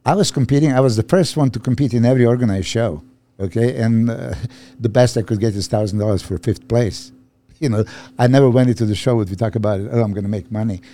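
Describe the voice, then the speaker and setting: A man's voice: mid-range voice, fast talker. A man in his fifties from Serbia, a bodybuilding coach and guest, chatting casually on a podcast and laughing often. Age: 50s. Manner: laughs often, relaxed storytelling.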